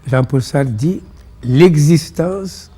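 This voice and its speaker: A man with a Senegalese accent and Pulaar as his first language, explaining ideas in measured, didactic phrases as an interview guest. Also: a little high, mellow, slightly rough. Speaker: interview guest, philosophy author.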